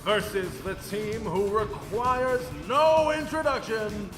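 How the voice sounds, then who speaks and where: A man playing an excited, showy arena match announcer with a drawn-out, dramatic delivery. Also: high-pitched. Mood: hyped. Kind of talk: booming stadium announcing. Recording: echoey arena reverb over music.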